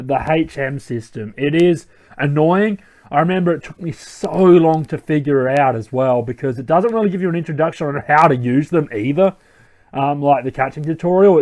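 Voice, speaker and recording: A man's voice: a little high, a little mellow. A young man hosting a let's play, giving casual commentary and thinking aloud with many ums.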